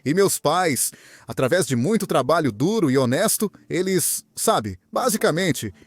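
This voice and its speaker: A young man from Venezuela, a guest in an interview, telling his story in an earnest, animated way. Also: fairly high pitch, a little slow, no room sound. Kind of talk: interview answers, personal story.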